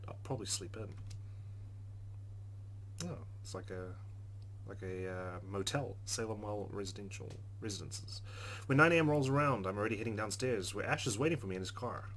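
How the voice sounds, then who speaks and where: A man's voice: on the low side, a little hiss or hum, rough recording. A man hosting a let's play, reading game narration aloud and adding casual commentary. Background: faint game music.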